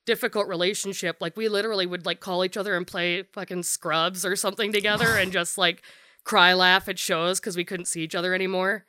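Deep-voiced woman, frank and blunt. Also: steady tone, fairly quick, pushed and bright, no echo, clean studio sound. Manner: frank and blunt, swears freely, many "like" fillers. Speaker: podcast guest. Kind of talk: casual candid conversation.

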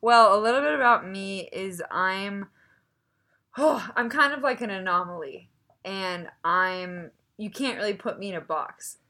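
A woman's voice: mid-range voice, a little slow, bright, forceful tone. A woman in her twenties co-hosting a podcast from a bedroom, chatting casually with lots of fillers and giggles into a shared microphone. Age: 20s. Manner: giggly, rambling with many fillers. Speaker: podcast co-host. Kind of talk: casual chat. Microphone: single shared microphone.

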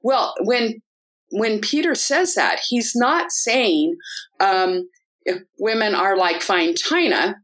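A woman's voice: mid-range voice, lively intonation, takes it slowly, a bit bright. A woman talks through a Bible passage on a discussion panel, taking her time. Her tone is earnest and instructive, and she often restarts her sentences as she thinks. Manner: earnest, teaching tone with frequent restarts. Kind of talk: casual discussion explaining scripture.

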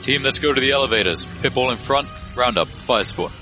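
An actor playing a calm, ruthless squad leader with a clipped, commanding manner in an action audio drama. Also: male voice, medium pitch, fast talker, faint music behind, close to an everyday voice. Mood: urgent command.

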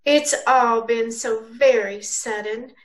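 A woman playing a solemn, polite lady on the telephone in an old-time radio drama, measured and formal. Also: fairly high pitch, steady tone, poor audio.

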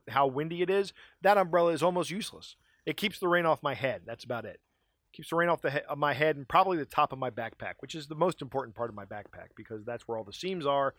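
A man from Maryland co-hosting a casual podcast, ranting in an animated way with frequent laughs, his voice starting to go. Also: medium pitch, no echo. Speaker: podcast co-host.

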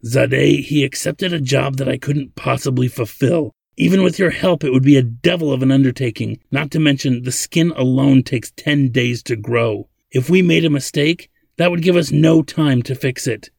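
Man playing a stern, grumbling old inventor, creaky. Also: medium pitch, normal speed, few pauses, a little mellow, no room sound, voice pushed up. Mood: firm. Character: grumbling, hot-tempered old male inventor, stern father.

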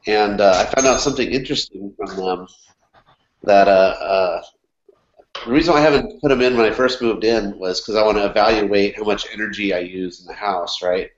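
Male co-host, low-pitched, nasal and stuffy from a cold, rambly delivery full of "uh"s, echoey dull recording. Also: a little fast. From California. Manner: rambling with many fillers and restarts. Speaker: podcast co-host. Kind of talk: casual chat. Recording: echoey room, dull lo-fi sound.

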